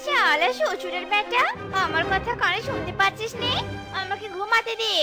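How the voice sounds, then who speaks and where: A shrill, extremely high cartoon fox wife voiced by a woman, young, demanding and melodramatic. Her delivery is exclamatory and dramatic, over loud tinkling children's music. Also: moderate pace. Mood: scornful. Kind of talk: children's cartoon story dubbing.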